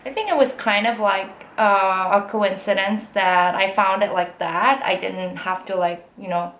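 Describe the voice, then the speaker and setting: A young woman with a Korean accent and American-style English, telling a story casually with many fillers and pauses. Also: mid-range voice, fairly even intonation, fairly bright tone. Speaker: aspiring actress. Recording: echoey room.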